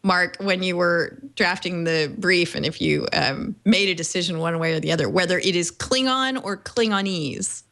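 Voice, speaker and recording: Low-voiced woman hosting a panel. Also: moderate pace, hardly any pauses, a bit bright. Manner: poised, steering with questions. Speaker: podcast host.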